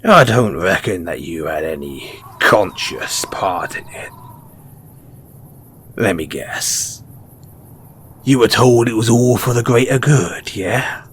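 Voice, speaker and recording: A man's voice: on the low side, animated, husky, exaggerated voice. A man playing a mysterious, wry and cynical wasteland merchant in a post-apocalyptic audio roleplay, speaking colloquially and knowingly straight to the listener. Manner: unhurried, colloquial, knowing, with pointed pauses.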